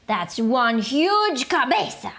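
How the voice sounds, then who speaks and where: Woman doing an excitable boy kitten. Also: high voice, slow pace, vocal fry, heavily acted. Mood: excited. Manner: excitable, sprinkles mock-Spanish words. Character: playful boy kitten who imagines himself a Chihuahua.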